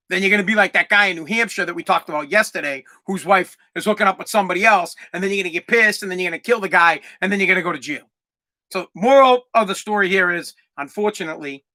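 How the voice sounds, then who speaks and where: A man in his forties hosting a comedic solo podcast, ranting in a profane, rambling way with lots of stammered restarts and bursts of shouting. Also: a little high. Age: 40s. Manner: exaggerated, swings into loud shouting. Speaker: podcast host.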